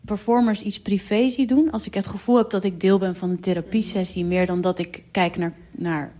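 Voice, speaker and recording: Female interview guest, artist, medium pitch, casual explanatory conversation. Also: steady tone, a little fast, dark tone.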